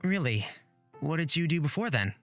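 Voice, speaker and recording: A woman's voice: sits low, very animated, normal speed, faint music behind. Woman voicing an earnest, hesitant young traveler. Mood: curious.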